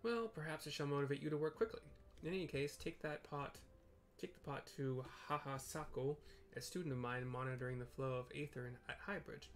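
A man's voice: fairly high pitch, brisk, a little hiss or hum, close to an everyday voice. A man voicing a pompous, wordy professor from a fantasy game, with theatrical scholarly diction, over game music. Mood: concerned, condescending. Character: pompous, wordy male professor of crystal science. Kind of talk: reading game dialogue aloud.

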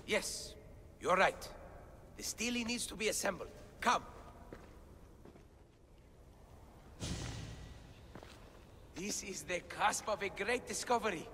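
An anxious scholar from a video game, plaintive and full of self-doubt. The voice is high and bright, swinging widely as it frets. It rings with long reverb. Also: male voice, lively intonation, normal speed. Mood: excited. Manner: fretful, plaintive, emphatic. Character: anxious, self-doubting male scholar translating ancient relics. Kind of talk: dramatic video game cutscene acting. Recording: long cavernous reverb, clear background noise.

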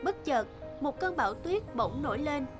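A woman's voice: sits high, animated, normal speed, music in the background. A woman narrator telling a scripted fairy tale.

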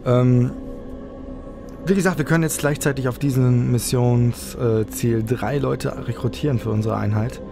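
A man's voice: mid-range voice, fairly even intonation, normal speed, creaky, music in the background. A man giving casual let's play commentary as the host.

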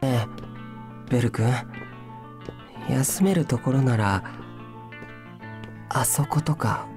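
A coy, scheming young man from an anime drama. His pitch moves freely and music plays clearly behind him. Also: lively intonation, unhurried.

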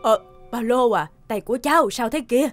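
A woman's voice: fairly high pitch, moderate pace, lightly acted. A woman voicing a kindly, persuasive older female neighbour in a cartoon dub, sympathetic and coaxing. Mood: concerned, sympathetic. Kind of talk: dubbed cartoon dialogue. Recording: music behind the voice.

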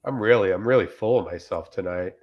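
A man in casual comic chat and reading reviews aloud, rambling and deadpan, with some swearing, on a video call. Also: low-pitched, a little fast, dull audio. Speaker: comedian guest. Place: indoors on a group video call.

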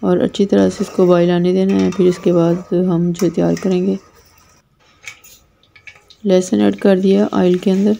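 A woman's voice: fairly low pitch, level tone, measured pace, creaky, pauses often, warm tone, a little hiss or hum. A woman with a Pakistani Urdu accent, hosting a cooking channel and explaining a recipe step by step in a plain, repetitive instructional way. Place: home kitchen.

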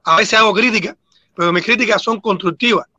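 Earnest Cuban coach, Cuban accent, high-pitched and very bright, close dry mic. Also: male voice, a little fast, breathy edge, no echo. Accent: Cuban Spanish. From Cuba. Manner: earnest, emphatic, repeats himself. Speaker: boxing coach, guest. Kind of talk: panel discussion on a video call.

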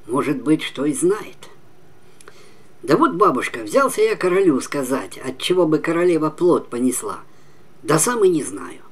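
A man's voice: on the high side, big pitch swings, moderate pace, slightly rough. An elderly man voicing a troubled, brusque young peasant's son in a storybook tale. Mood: pondering, troubled.

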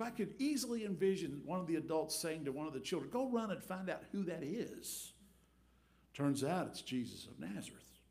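A middle-aged man preaching a storytelling sermon from a church pulpit, swinging between big acted-out crowd voices and quiet asides. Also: a little high. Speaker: pastor. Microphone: headset mic.

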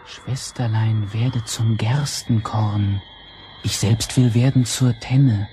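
An actor playing a resolute young brother in a children's radio play, deliberate and incantatory as he casts spells. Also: lowish voice, fairly even intonation, mellow. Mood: solemn, urgent. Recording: noisy vintage children's record.

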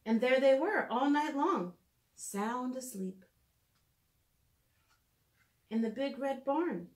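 Slow, high-voiced woman teaching young children. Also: animated, darkish tone. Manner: clear, simple storytelling for young children. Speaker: children's educator reading and drawing. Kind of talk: picture-book read-aloud and drawing lesson for preschoolers. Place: small room at home, indoors.

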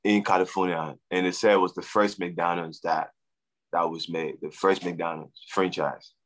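A young man from Liberia with a Liberian accent, talking casually as a guest on a video call, halting and often repeating his words. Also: deep voice, fairly even intonation, fairly bright tone. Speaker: guest, soccer player. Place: room at home. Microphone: webcam on video call.

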